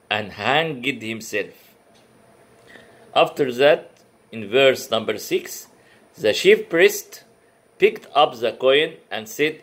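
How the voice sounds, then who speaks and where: A man whose first language is Arabic gives a religious lecture in careful, non-native English, pausing often with fillers and restarting words. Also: mid-range voice, fairly bright tone. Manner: halting, many uh fillers and restarts. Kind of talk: comparative religion talk.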